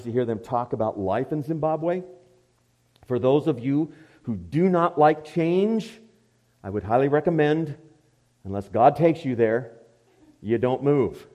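A man's voice: mid-range voice, warm tone. Man leading a church service. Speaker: pastor.

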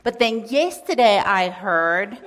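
A woman missionary with a booming voice, speaking upbeat to a church congregation and thanking them warmly. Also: expressive, slow pace.

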